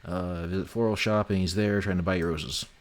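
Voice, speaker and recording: Male stream host, deep voice, dry teasing banter, relaxed conversational delivery. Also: a little fast. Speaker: livestream host and game developer. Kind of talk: casual chat.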